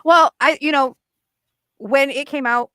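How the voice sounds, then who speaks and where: A woman's voice: high-pitched, unhurried, bright, forceful tone, no room sound, studio quality. A woman whose first language is Portuguese, co-hosting a casual chat and speaking candidly with lots of fillers. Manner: candid, chatty, sprinkles fillers and laughs. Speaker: co-host.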